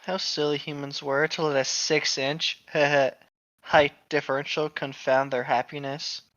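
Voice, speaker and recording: Man, slightly high voice, casual co-host, jokey read-along chat. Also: flat delivery, measured pace, fairly bright tone, dull audio. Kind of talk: casual joking and read-aloud.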